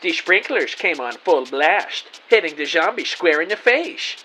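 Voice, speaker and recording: An actor playing an earnest, excitable, bumbling amateur detective, narrating his case melodramatically in an audio drama. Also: fairly high pitch, measured pace, a little hiss or hum, exaggerated voice, rough recording. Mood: triumphant. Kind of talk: scripted first-person audio drama narration.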